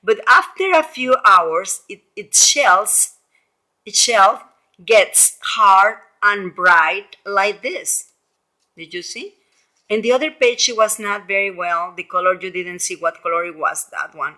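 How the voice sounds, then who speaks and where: Female primary teacher, medium pitch, slow delivery. Children's read-aloud lesson, expressive and repetitive, addressing young listeners directly. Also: poor audio. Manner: animated storytelling with exclamations and frequent questions to listeners; simple explanations with frequent repetition.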